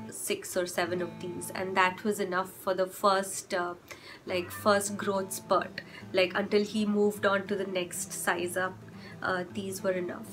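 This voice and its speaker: A young woman vlogging with chatty parenting advice, her voice hoarse from a cold, often stopping to rephrase with fillers. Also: mid-range voice. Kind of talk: casual advice vlog.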